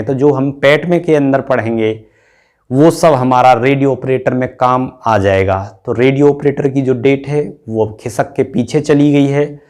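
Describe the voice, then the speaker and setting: Man, mid-pitched voice, informal teacher, step-by-step explainer, repeats points. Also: darkish tone. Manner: repetitive step-by-step explaining, frequently checking with "okay". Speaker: exam-prep teacher and channel host. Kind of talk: informal explainer addressed to exam candidates.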